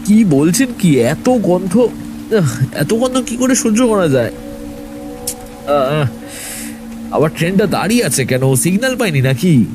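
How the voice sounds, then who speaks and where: Man acting an agitated passenger, pitch raised high. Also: big pitch swings, unhurried, pauses often, over music. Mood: disgusted, agitated. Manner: agitated, questioning. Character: uneasy, complaining male train passenger. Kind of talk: dramatised audio horror story.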